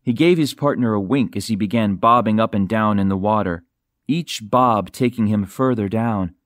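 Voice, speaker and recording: A man's voice: fairly low pitch, moderate pace, no room sound, clean studio sound. A man reading dramatic fiction as a scripted audiobook narrator.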